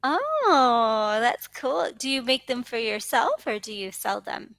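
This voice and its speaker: A woman's voice: fairly high pitch, very animated, slow pace, gravelly, fairly bright tone, no echo. A woman with an American accent chatting casually as an English tutor, encouraging and responsive with frequent affirmations. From United States. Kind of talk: casual one-on-one conversation practice. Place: online video lesson, indoors.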